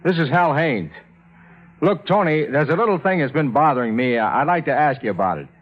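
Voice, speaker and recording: An actor playing a troubled, skeptical friend in a 1950s radio drama, speaking and narrating with earnest, dramatic delivery. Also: male voice, fairly high pitch, expressive, fairly quick. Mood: hesitant probing. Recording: dull 1950s radio recording with music.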